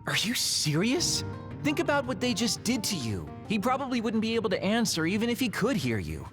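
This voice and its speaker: A man's voice: high-pitched, huge pitch swings, brisk, music in the background. A man playing a sly, sardonic young lone wolf in an English-dubbed anime game. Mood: incredulous, sly. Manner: wry, sardonic.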